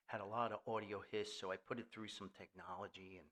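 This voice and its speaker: A man's voice: on the low side, steady tone, fast talker, a bit bright. An older man hosting a casual, rambling podcast in a folksy, jokey manner. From Paterson, New Jersey, US. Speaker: podcast host.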